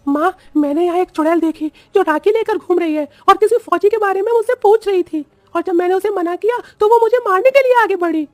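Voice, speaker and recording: A woman voicing a frightened, pleading little boy in an animated horror story. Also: extremely high pitch, fairly quick, few pauses, no room sound, faint music behind. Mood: frightened, insistent. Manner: pleading, alarmed. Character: frightened little boy calling for his mother.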